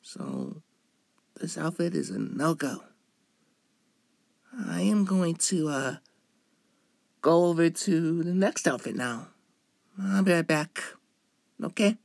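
A man plays a sweet, bashful young femboy in an intimate ASMR roleplay. The delivery is slow and hesitant, with coy pitch swings. The recording is close and dry. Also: very animated, creaky, dry recording, exaggerated voice. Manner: hesitant, coy, with drawn-out words. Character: cute, shy, playful young femboy trying on outfits.

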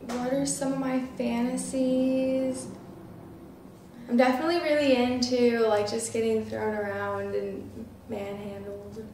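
A woman's voice: fairly high pitch, flat delivery, a little slow, darkish tone. A nineteen-year-old woman chatting casually as an interview guest, peppering her talk with filler words. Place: small living room, seated on a couch. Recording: roomy, distant mic, faint noise.

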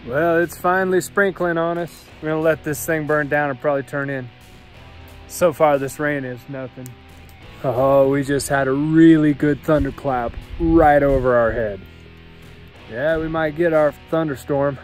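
A man's voice: a little high, normal speed, faint music behind. A middle-aged man vlogging outdoors at a forest camp by a mountain lake, talking easygoing into a phone held at arm's length. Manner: easygoing, growing excited in the storm. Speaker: solo backpacking vlogger. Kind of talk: outdoor vlog to camera.

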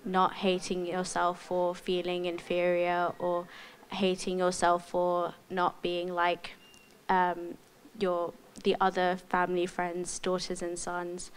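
Flat, low-voiced young woman. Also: slow pace, slight background noise. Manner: thoughtful, reassuring. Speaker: panelist. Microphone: handheld mic.

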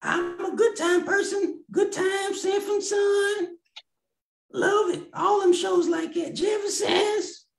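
A man's voice: extremely high pitch, steady tone, slow, creaky, reverberant, shouting, pitched above the performer's own voice, exaggerated voice. A man voicing a bawdy, churchgoing old grandmother puppet who drawls folksy lines and calls people baby, over a video call. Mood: sassy. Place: small room at home, video call.

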